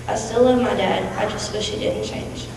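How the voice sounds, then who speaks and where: A woman's voice: mid-range voice, steady tone, moderate pace, vocal fry. A young woman of about eighteen reciting a personal monologue as a student performer. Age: young adult, about eighteen. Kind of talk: readers theatre monologue, recited. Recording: echoey hall from far off, noisy.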